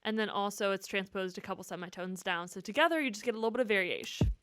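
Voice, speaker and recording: A woman's voice: mid-range voice, fast talker, noisy background. A woman giving a casual, enthusiastic walkthrough of music production in informal, slangy language, as a musician teaching. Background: music from the track being demonstrated.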